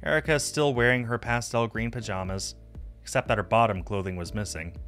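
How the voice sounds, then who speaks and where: A man's voice: mid-range voice, fairly even intonation, a little fast, fairly bright tone. A man streaming a visual novel, reading the narration aloud and adding casual asides. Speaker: game streamer. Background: music.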